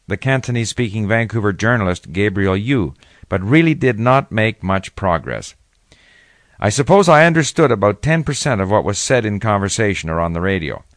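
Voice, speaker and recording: An older man reads his own memoir in a measured, reflective way. His voice sits fairly low, and the recording is dry and close, with no room sound. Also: no echo. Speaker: author reading his memoir. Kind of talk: first-person read narration.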